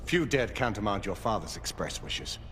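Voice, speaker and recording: An actor playing a proud, impatient veteran knight in a video game, formal and emphatic. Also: male voice, on the low side, sing-song, normal speed, a bit bright, in a large hall, a little hiss or hum. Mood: insistent.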